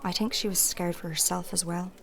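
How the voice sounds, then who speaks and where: A woman's voice: fairly low pitch, fairly even intonation, fairly quick, gravelly, crowd noise. A woman playing a shrewd, candid innkeeper in a fantasy audio drama, with a folksy, thoughtful way of talking. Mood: confiding, shrewd. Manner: plain-spoken, folksy, thoughtful.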